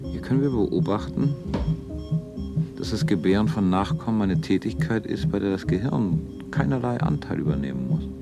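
A detached colony scientist in a science fiction radio play. He lectures clinically in a lowish voice with lively swings of pitch. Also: moderate pace, creaky, loud music behind the voice. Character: detached male scientist observing a colony of breeders. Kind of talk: 1970s German radio play acting.

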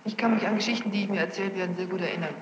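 A woman's voice: medium pitch, racing. A woman testifying as a witness in court, defensive and precise about her wording. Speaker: witness under questioning. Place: large reverberant courtroom. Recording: muffled, noisy, echoey archival courtroom tape.

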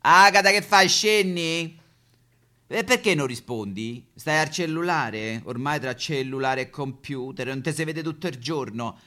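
A man's voice: a little high, unhurried, sharp tone. A male actor voicing a vain, brash female Roman prostitute in a theatrical comic monologue, chattering and calling out. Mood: brassy, vain. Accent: Roman Italian.

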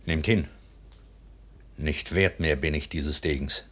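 An actor playing a proud, wounded, bitter army colonel in a 1950s German radio drama, declaiming intensely with a husky, raspy voice. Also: male voice, a bass voice, takes it slowly, a bit bright, exaggerated voice. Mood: grim, broken. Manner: declamatory, intense, sinking to harsh whispers.

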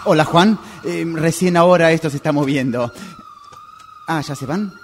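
Man acting high-pitched, sociable guy on the phone. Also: normal speed, lightly acted. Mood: resigned. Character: sociable man phoning old school friends from traffic. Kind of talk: radio drama acting, one-sided phone call. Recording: amateur workshop recording, sirens and traffic noise.

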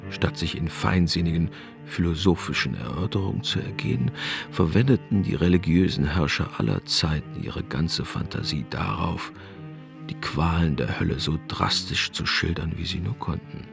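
Man, deep voice, scripted narration, articulate, cello music behind. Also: expressive, normal speed, gravelly. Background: string music.

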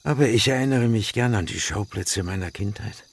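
A man's voice: lowish voice, normal speed. A man playing a wistful, homesick musician past middle age in a German radio play. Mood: wistful, nostalgic. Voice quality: husky, creaky.

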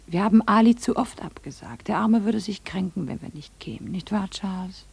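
A woman's voice: mid-range voice, normal speed, creaky, darkish tone, husky. A woman playing an affected, languid society lady in a radio play. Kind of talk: German radio play acting.

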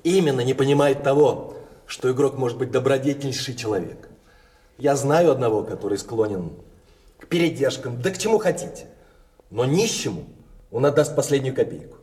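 An actor playing a cool-headed, proud card sharp in a theatrical radio play, speaking deliberately and with self-assurance. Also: male voice, mid-range voice, far from the mic, close to an everyday voice. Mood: confiding, eager. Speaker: stage actor.

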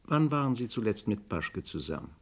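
An actor playing a probing, drily skeptical police commissioner in a radio crime drama, questioning in a clipped, businesslike way. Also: male voice, on the low side, sing-song, moderate pace, vocal fry, full character voice. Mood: brisk, formal. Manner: clipped, matter-of-fact questioning.

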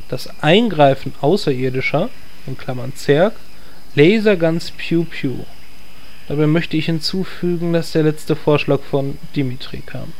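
A man's voice: fairly high pitch, a little slow, darkish tone. A man playing a sardonic, exasperated guild leader in a parody audio drama, formal and long-winded. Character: dry, exasperated male guild leader, transformed druid.

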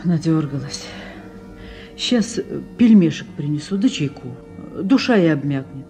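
A woman's voice: low-pitched, expressive, a little slow, dark tone. Kindly female farm cook, radio drama; homely, soothing folksy talk over music. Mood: caring. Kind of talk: Soviet radio play acting.

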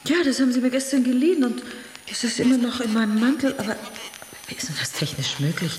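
A woman's voice: on the high side, big pitch swings, quick pace, a little mellow, slight background noise. An actress playing a practical, observant, slightly unsettled woman in a radio drama, matter-of-fact but hesitant. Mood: bewildered. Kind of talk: German radio drama acting. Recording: echoey vault with music.

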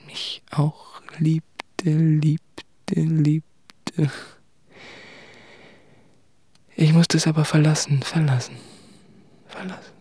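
Slow, husky, tormented man. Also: a little high, no room sound, full character voice. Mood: hushed, pained. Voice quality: husky, breathy, creaky. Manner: halting, hushed, confessional. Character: tormented introspective man confessing failed love. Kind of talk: literary radio play monologue.

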